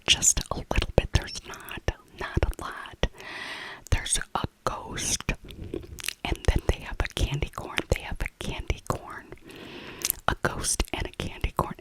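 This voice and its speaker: Whispering woman, casual ASMR voiceover. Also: low-quality recording. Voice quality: unvoiced breathy whisper. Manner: gentle, repeats phrases slowly and musingly. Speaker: ASMR creator narrating a shopping trip.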